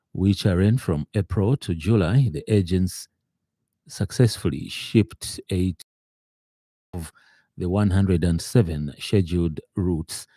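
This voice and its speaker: A man with a Zambian accent reading a scripted radio news bulletin in a formal, measured manner from a studio. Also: deep voice, mellow, dry recording.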